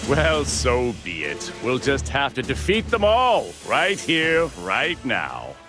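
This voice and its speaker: A slow, smug, swooping villain. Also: male voice, medium pitch, huge pitch swings, music in the background. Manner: mocking, savoring each phrase. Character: smug, arrogant male villain eager for battle. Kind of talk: animated fighting game voice acting.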